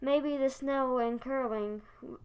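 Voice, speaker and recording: A proud young husband worn down by money worries, acted by a child of about ten. The voice is high, smooth and clearly a child's, delivering lines for a school radio play. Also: steady tone, takes it slowly, low-quality recording. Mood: frustrated. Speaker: fourth grade student actor.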